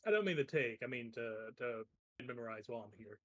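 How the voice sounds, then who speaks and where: A man's voice: medium pitch, lively intonation, brisk, dry recording. Hesitant man at a tabletop game, dry sound. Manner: hesitant, questioning. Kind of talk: casual table talk.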